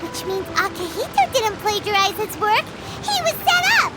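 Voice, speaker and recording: Squeaky little fairy girl. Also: sing-song, moderate pace. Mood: excited. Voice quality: squeaky, childlike. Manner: exclamatory, speaks of herself in third person. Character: small childlike female fairy companion, excitable and blunt. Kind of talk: video game voice acting. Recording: music behind the voice.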